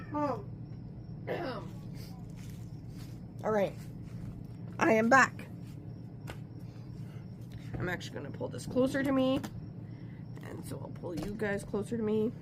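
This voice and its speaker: A woman's voice: fairly high pitch, takes it slowly, long pauses, background noise. A woman with a stuffy nose from a cold, chatting casually and rambling as she works on a craft at home. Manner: chatty, rambling, self-interrupting. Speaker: crafting vlogger. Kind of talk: casual talk while crafting.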